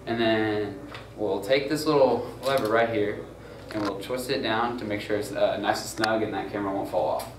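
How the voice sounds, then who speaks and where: A man's voice: on the low side, steady tone, moderate pace, few pauses. A young man giving a casual how-to demonstration on camera setup, talking loosely with lots of fillers. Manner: loose and informal, many fillers and "gonna go ahead". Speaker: student crew tutorial presenter. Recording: roomy, far from mic, noisy.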